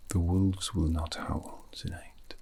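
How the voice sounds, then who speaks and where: A man playing a gentle, wistful elven dream mage in an ASMR fantasy roleplay, murmuring slowly and poetically in a breathy, gravelly half-whisper. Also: sits low, fairly even intonation, heavily acted. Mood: soothing, coaxing. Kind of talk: ASMR fantasy roleplay, soothing sleep guidance.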